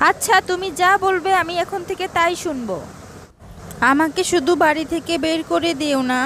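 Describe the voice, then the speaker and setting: A woman's voice: very high-pitched, moderate pace, a bit bright, slight background noise. A woman voicing a stylish, headstrong young daughter-in-law in a cartoon. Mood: pleading. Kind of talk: cartoon dialogue.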